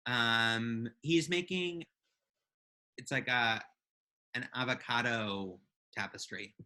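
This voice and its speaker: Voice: medium pitch, slow, pushed and bright, clean tone. A podcast co-host chatting casually and playfully with listeners as friends on a live show.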